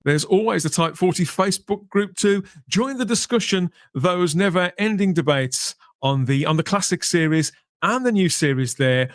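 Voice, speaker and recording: A man's voice: high voice, animated, normal speed, dry recording. Male podcast host, close dry sound. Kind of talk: casual podcast chat.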